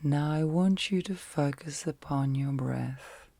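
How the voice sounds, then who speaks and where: A woman leading an ASMR guided sleep meditation in a soft, breathy, often whispered voice, speaking gently and soothingly in short phrases with long pauses. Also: sits low, no room sound.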